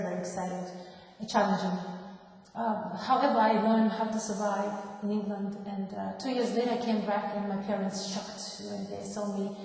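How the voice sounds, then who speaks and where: Echoey Japanese-accented woman, halting speech. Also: mid-range voice, a little mellow. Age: 30s. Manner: halting, searching for words. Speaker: former professional boxer giving a testimony. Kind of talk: personal life-story talk. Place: large reverberant room. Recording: echoey reverberant hall, distant mic.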